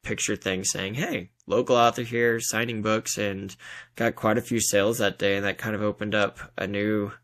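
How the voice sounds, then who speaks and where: American guy, gravelly fry in his voice, chatty author just telling little stories in an interview. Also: on the low side, flat delivery, normal speed, a bit bright. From Denver area, Colorado, US. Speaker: interview guest, fiction author. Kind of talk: casual interview chat.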